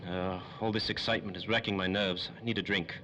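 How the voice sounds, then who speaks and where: A man acts a self-important doctor of science from a 1930s radio serial. His low voice has a sharp, forceful edge, and he rattles off formal, pedantic phrases quickly. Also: fairly even intonation, slight background noise. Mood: nervous. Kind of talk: 1930s old-time radio drama acting.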